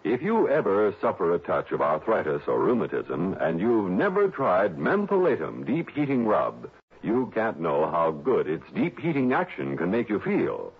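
A man's voice: on the low side, breathy edge. A man reading a scripted 1960s radio commercial as the announcer. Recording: tinny, narrow old radio broadcast.

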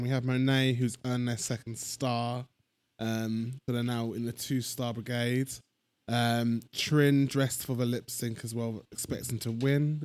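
Guy cohosting a podcast, mid voice, dry deadpan jokes, close dry sound. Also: medium pitch, no room sound. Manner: dry and joking, with hesitant restarts. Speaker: podcast co-host. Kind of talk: casual chat.

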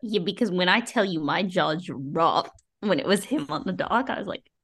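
Woman podcast cohost, kinda low voice, casual opinionated book chat, says like a lot. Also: lively intonation, moderate pace, fairly bright tone, husky. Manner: informal, exclamatory, frequent "like".

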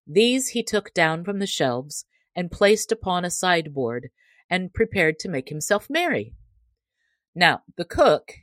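Female storybook narrator, low register, measured and expressive, very dry recording. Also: dry recording. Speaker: audiobook narrator.

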